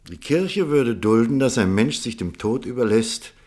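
An actor playing a thoughtful, sceptical doctor in a German radio play, measured and earnest as he questions. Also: medium pitch, animated, darkish tone, lightly acted. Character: male doctor, thoughtful, sceptical, conscientious debater.

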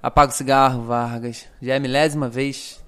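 Man playing an exasperated young Brazilian doctor, medium pitch. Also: flat delivery, brisk, a bit bright, smooth, close to an everyday voice. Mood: exasperated, dry. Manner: dry, exasperated, precise. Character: dry, exasperated young male forensic doctor. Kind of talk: audio drama dialogue.

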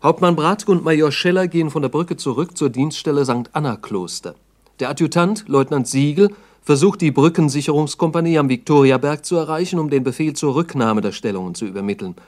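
A man's voice: fairly high pitch, brisk, a little mellow. A man giving scripted documentary narration in a radio play, sober and matter-of-fact.

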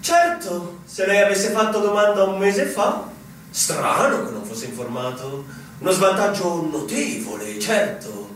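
A man's voice: high voice, big pitch swings, measured pace, a bit bright, heavily acted. A man playing a pompous, evasive senior military commander in a radio drama, with a theatrical, condescending, formal manner. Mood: evasive, dismissive. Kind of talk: stage-style radio drama acting. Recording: very echoey, distant, faint noise.

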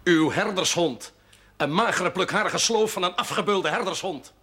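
A man's voice: sits high, normal speed, sharp tone. An actor playing a sardonic, defiant clergyman in a television drama, speaking with ornate sarcasm. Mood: sardonic, indignant.

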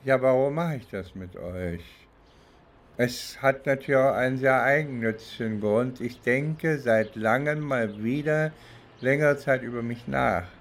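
A middle-aged or older man from East Germany speaks very slowly, in a medium-pitched voice. He recollects and reflects as an interviewee, deliberate and halting, often pausing to find the right words.

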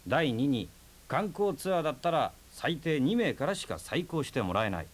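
An actor playing a brash, teasing man who talks in a rough, casual, wry way in a radio drama. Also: a little high, sing-song, normal speed. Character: brash, teasing young man, stubborn and playful. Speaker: houseguest.

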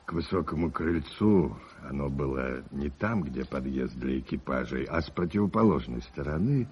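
A deep, stately gentleman's voice in a vintage radio play. He reminisces genially and with deliberation. The sound is dull, with a clear layer of noise. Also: expressive, moderate pace. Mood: musing. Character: priest's old friend, genial, measured gentleman reminiscing. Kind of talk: 1980s Soviet radio drama acting. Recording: muffled vintage radio recording with hiss.

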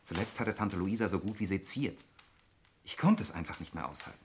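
An actor playing an irritable, suspicious lawyer in a 1960s German radio murder mystery, speaking in a precise, argumentative way. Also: male voice, low voice, animated, fairly quick. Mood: irritated. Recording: noisy 1960s radio recording with clicks.

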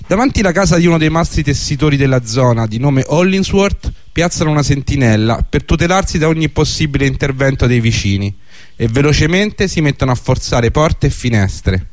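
A man's voice: a little high, lively intonation, a little fast, no room sound. A man reading a scripted historical narration for a radio drama.

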